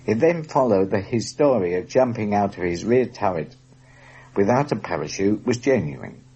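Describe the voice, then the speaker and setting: Husky man with expressive, formal delivery. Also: lowish voice, moderate pace, highs cut off. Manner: precise, formal phrasing. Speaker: former prisoner of war giving his recollection. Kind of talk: prepared first-person account in a documentary.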